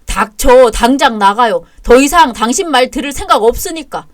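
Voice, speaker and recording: A woman's voice: on the high side, lively intonation, normal speed, lightly acted. A woman voicing an angry young husband who orders his mother out in a cold, harsh tone. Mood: furious. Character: furious protective young husband.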